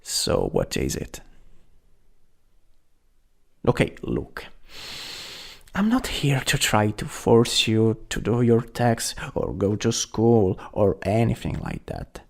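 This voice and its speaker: A young man acting a caring, worried best friend in an ASMR roleplay, gentle, hesitant and intimate. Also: on the low side, wildly swooping pitch, slow, gravelly, pauses often, full character voice. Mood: gentle, reassuring.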